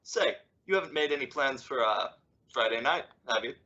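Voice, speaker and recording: A woman's voice: a bass voice, expressive, moderate pace, sharp tone, exaggerated voice. A woman playing a wry, exasperated but loving middle-aged father in an old-time radio sitcom, with emphatic, sarcastic delivery. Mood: wryly sarcastic. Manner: dry sarcasm with emphatic swoops. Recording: dull, lo-fi audio.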